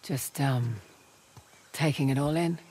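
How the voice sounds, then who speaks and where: A woman's voice: rumbling low, takes it slowly, dark tone, husky, heavily acted. A woman playing a confident, teasing treasure hunter in a video game, speaking in a playful, wry, conversational way. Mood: awed. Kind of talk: naturalistic video game acting. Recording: game ambience and engine noise.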